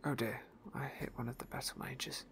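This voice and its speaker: A man whispering ASMR narration of a video game playthrough in a soft, unhurried, genteel way. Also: mid-range voice, level tone, noisy background.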